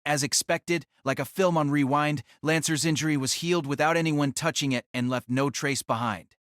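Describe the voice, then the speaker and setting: A man's voice: fairly high pitch, fairly even intonation, moderate pace, fairly bright tone, no echo, clean studio sound. Male narrator reading a fantasy drama. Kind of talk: scripted audiobook narration.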